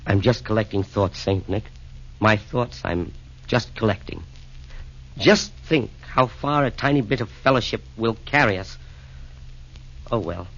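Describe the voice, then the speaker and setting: A meek, repentant Roman emperor in a 1940s radio play. The voice is husky yet tense and bright, speaking humble, theatrical verse. The vintage broadcast sound is dull. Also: male voice, on the low side, measured pace, pauses often, exaggerated voice. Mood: overwhelmed, grateful. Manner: hesitant, humble, rhyming theatrical delivery.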